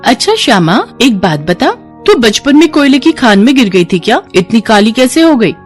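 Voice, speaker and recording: A woman's voice: fairly high pitch, very animated, normal speed, soft background music, pitched above the performer's own voice. A woman voicing an arrogant rich young woman in a story, haughty and bossy. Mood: mocking, bossy.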